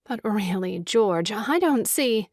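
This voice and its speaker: An actress playing a kind-hearted, girlish young woman in a stage farce, speaking in a gentle, coaxing way. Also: mid-range voice, lively intonation, slow, no echo, clean studio sound. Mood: mild reproach. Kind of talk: stage farce audio drama acting.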